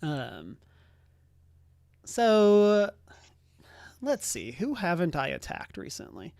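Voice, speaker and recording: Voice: medium pitch, wildly swooping pitch, takes it slowly, gravelly, a little mellow, no room sound. A game master running a casual tabletop game in a playful, wry way.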